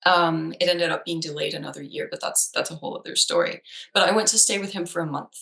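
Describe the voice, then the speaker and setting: A woman in her thirties whose first language is Danish talks casually with a bright, forceful edge to her voice. Her pitch sits a little low, and she restarts sentences often, with plenty of fillers. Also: fairly even intonation, fast, gravelly. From Denmark. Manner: chatty, with many fillers and restarts. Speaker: psychologist. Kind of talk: casual chat.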